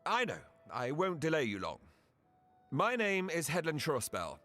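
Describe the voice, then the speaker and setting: An actor playing a courteous, self-deprecating imperial mage envoy in a fantasy game, polite and wry with some hesitation. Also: male voice, a little high, wildly swooping pitch, a little slow, bright, forceful tone, faint music behind, full character voice. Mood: politely wry. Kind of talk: scripted fantasy game cutscene acting.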